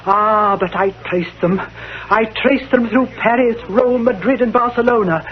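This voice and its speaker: Woman acting a bereaved avenging widow, high-pitched, dramatic, formal diction, old radio drama, muffled sound. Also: a little slow, close to an everyday voice. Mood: grave, bitter. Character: grieving, vengeful widow of a murdered diplomat. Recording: dull old radio recording.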